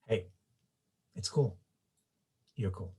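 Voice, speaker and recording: A man's voice: takes it slowly, a little mellow, natural voice. A man playing an easygoing, teasing young man at a comedy screenplay read, laid-back in manner. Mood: relaxed, teasing.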